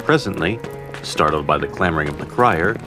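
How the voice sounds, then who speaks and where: A man with a very low voice narrates a fantasy audio drama. His delivery is formal and literary, like scripted storytelling, with quiet music underneath. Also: expressive, a little fast. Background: faint music.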